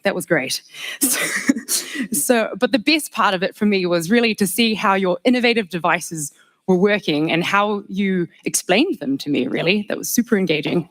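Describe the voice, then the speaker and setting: A woman in her thirties with a New Zealand accent, hosting a live event from a stage mic in a large hall, chatty and jokey with frequent laughs. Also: medium pitch. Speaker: master of ceremonies.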